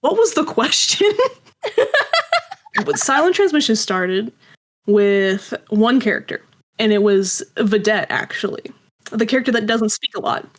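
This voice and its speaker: A woman's voice: on the high side, expressive, measured pace, long pauses. A woman being interviewed as a creator, telling stories casually and self-deprecatingly and acting out little quoted exchanges. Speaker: guest, audio drama creator.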